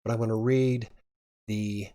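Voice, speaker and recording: A man with a fairly low voice hosts a loose live chat. He rambles, laughs between thoughts and speaks. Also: fairly even intonation, measured pace, dark tone. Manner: rambling, chuckling often. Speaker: livestream host.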